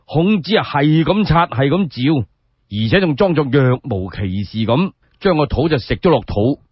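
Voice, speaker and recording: A man's voice: fairly high pitch, animated, moderate pace, breathy edge, dry recording, muffled recording. A man with a Cantonese accent telling historical tales as a solo radio storyteller, colloquial and dramatic.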